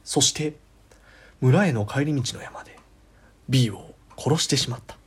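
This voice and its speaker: A man narrating a scripted ghost story in a theatrical way, at times dropping to a hush. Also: mid-range voice, unhurried, husky.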